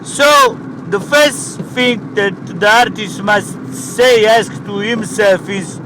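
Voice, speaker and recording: A man's voice: high-pitched, steady tone, takes it slowly, noisy background. A man putting on a grandiose Italian professor. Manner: grandiose, rambling, broken English. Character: rambling pompous Italian male professor.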